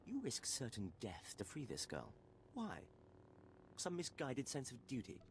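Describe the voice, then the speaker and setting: An actor playing an arrogant, cruel Imperial villain in a video game, taunting and condescending. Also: male voice, mid-range voice, wildly swooping pitch, measured pace, a little hiss or hum.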